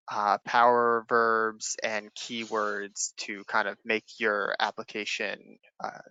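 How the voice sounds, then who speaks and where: Bright, clear male webinar host. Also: mid-range voice, no room sound, low-quality recording. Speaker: webinar host relaying audience questions. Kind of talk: casual moderated question and answer.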